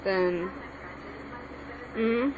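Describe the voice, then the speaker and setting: A woman's voice: mid-range voice, drawn-out words. A young woman giving a casual lesson while she writes, rambling and correcting herself as she goes. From Mexican background. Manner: rambling, self-correcting, hesitant. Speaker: language tutorial maker. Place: home room with a TV on. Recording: lo-fi home recording with background noise.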